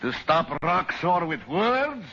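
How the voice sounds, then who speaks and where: An actor playing a megalomaniac villain bent on ruling the world, grand and sneering, in old-time radio drama style. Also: male voice, fairly high pitch, sing-song, takes it slowly, sharp tone, exaggerated voice. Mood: furious. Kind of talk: 1940s radio acting. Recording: dull old radio broadcast with hiss.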